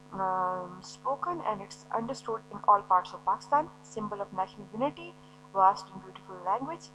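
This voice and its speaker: Bright-voiced woman teaching a lecture. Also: on the high side, slight background noise, poor audio. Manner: explanatory, poses and answers her own questions. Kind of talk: recorded classroom lecture.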